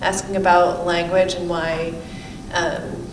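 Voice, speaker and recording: A woman's voice: fairly low pitch, level tone, slow pace. A young woman, a student in the audience, asks an informal question tentatively, thinking it through as she speaks. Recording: echoey, distant mic with clear room noise.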